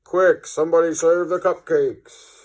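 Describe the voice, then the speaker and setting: A man voicing an excitable, panicky schoolchild from a children's comic, crying out. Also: on the high side, unhurried, pitched above the performer's own voice, close to an everyday voice. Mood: panicked. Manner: exclaiming, excitable. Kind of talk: children's comic read aloud.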